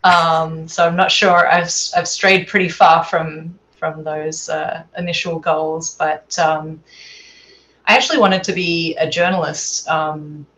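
Low-voiced Australian woman, easygoing. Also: steady tone, slow, a bit bright, poor audio. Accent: Australian with American tinge. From Adelaide, Australia. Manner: easygoing, laughs lightly, hesitant fillers. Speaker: sleep researcher guest. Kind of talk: casual interview chat. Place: home room.

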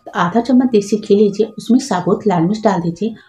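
A woman's voice: medium pitch, brisk, mellow, reverberant. A woman host giving patient, step-by-step instructions in a cooking tutorial voice-over, adding practical tips as she goes.

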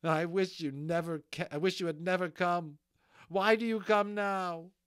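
A man voicing a bitter, fierce peasant woman, pitched up. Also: very animated, unhurried, no room sound, clean studio sound. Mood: anguished fury. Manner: scolding, anguished outbursts.

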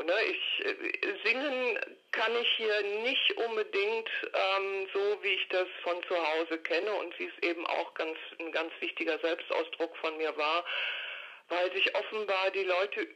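A woman's voice: fairly low pitch, measured pace, bright, forceful tone. An older woman giving a reflective interview by telephone, speaking in a hesitant, detailed, matter-of-fact way. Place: recorded over a telephone call. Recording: dull, lo-fi telephone line.

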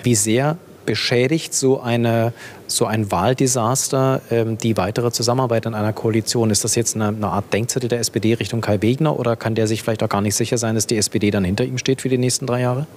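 A man's voice: steady tone, fairly quick, darkish tone. A man in his thirties asking questions as a live television interviewer. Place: large indoor parliament foyer. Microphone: handheld broadcast microphone. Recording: clear rumbling hall noise.

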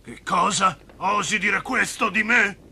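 A man voicing a brooding, resentful elder brother, a shepherd, in an animated film dub. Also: high-pitched, unhurried, vocal fry, exaggerated voice. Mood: indignant. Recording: noisy ambience behind cartoon dialogue.

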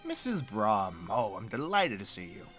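A man playing an effusive, fretful choir director in an audio drama, fussy and excitable. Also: on the low side, moderate pace, soft background music. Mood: delighted. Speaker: actor in a drama.

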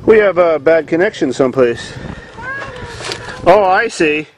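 A man's voice: a little high, lively intonation, a little slow, rough recording. A man hosting a casual farm vlog outdoors among running cars, joking crudely and rambling as he shows off the vehicles. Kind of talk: casual chat. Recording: loud outdoor engine noise.

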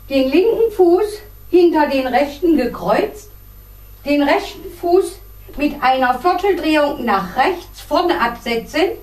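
High-voiced woman teaching dance steps, echoey room. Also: a little mellow. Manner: measured, deliberate, counts out beats. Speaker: line dance instructor. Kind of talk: step-by-step dance instruction with counting. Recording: roomy echo, distant microphone.

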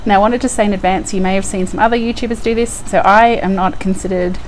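A young woman with a hoarse, croaky voice from a cold, chatting casually to the camera at home with lots of ums. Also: mid-range voice, fairly even intonation, fast talker, a little hiss or hum, low-quality recording. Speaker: couponing vlogger. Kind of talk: casual unboxing vlog. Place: indoors at home by a window. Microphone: lapel mic.

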